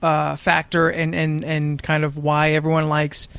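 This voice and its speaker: A man co-hosting a podcast in casual chat, explaining his opinions in a measured way. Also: on the high side, completely flat delivery, creaky, fairly bright tone, clean tone, no echo. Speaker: podcast co-host.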